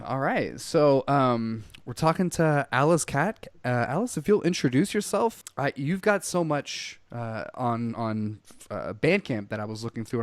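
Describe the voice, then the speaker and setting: A man's voice: mid-range voice, no echo. A man hosting an interview over a call, talking casually and rambling with many fillers. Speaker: podcast host. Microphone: headphones on a call.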